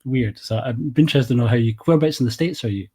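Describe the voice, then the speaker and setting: A man's voice: mid-range voice, fast talker, warm tone, slightly rough. A man with a Scottish accent hosting a casual podcast chat in an informal, sweary way. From Scotland. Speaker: podcast host.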